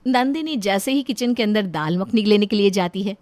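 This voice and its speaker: A woman's voice: mid-range voice, expressive, moderate pace, a little mellow. A woman narrating a scripted family story.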